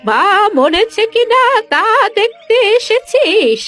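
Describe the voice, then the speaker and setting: A woman's voice: very high voice, big pitch swings, fairly bright tone, faint music behind, exaggerated voice. A woman playing a proud, betrayed old village mother in a cartoon story, scornful and reproachful. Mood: bitter, reproachful. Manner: scornful, reproachful, slow emphatic delivery. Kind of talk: Bengali cartoon moral-story acting.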